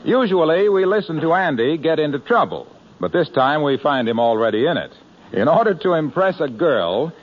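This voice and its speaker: A man announcing a 1940s radio show and reading its commercial in an upbeat, salesy manner. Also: medium pitch. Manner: upbeat, salesy, showman delivery. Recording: muffled old radio broadcast with hiss.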